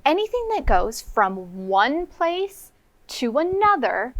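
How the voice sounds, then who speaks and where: A woman's voice: high voice, big pitch swings, slow pace, a bit bright, no room sound. An American woman teaching young children, explaining simply in a read-aloud lesson as a kindergarten co-host.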